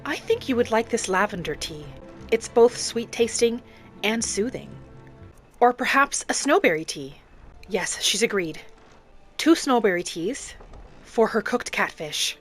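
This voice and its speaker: A woman narrating a video game roleplay in character, speaking in polite, gently formal phrasing. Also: fairly high pitch, lively intonation, unhurried. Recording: background music behind the voice.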